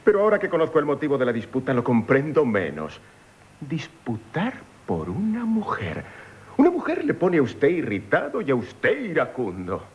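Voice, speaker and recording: An actor playing a scornful, woman-hating gentleman bachelor, exclamatory and disdainful, in a radio comedy with a Castilian Spanish accent. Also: a little high, huge pitch swings, normal speed. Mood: scornful, incredulous. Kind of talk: theatrical 1970s radio comedy acting.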